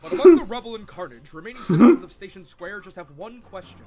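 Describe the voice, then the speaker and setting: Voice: mid-range voice, sing-song, fast, dark tone, soft background music. A narrator reading stage directions from a comedic script as scripted narration.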